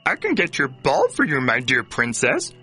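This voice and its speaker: A man voicing a small, pleading and pushy talking frog in a children's cartoon fairy tale, with a raspy voice and exaggerated delivery. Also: mid-range voice, huge pitch swings, moderate pace, sharp tone, soft background music, full character voice. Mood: coaxing.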